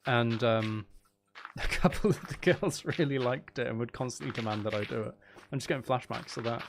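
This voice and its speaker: A man's voice: medium pitch, normal speed, vocal fry. Male streamer, casual and rambling, mid-range voice, self-deprecating, rain and water noise behind. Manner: mildly sweary. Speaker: game streamer and audio creator. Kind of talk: casual live gaming stream chat.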